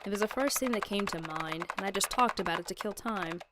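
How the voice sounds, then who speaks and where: A woman playing a calm, teasing young woman who explains things in a measured way, in a visual novel fan dub. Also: medium pitch, very noisy, lightly acted. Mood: teasing, offhand. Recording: music behind the voice.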